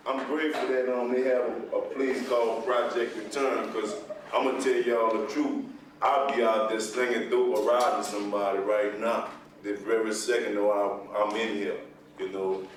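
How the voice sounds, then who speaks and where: A man's voice: fairly low pitch, flat delivery, normal speed. A man giving frank, informal testimony to a group in colloquial speech. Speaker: program participant, ex-convict. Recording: very echoey room, distant, faint noise.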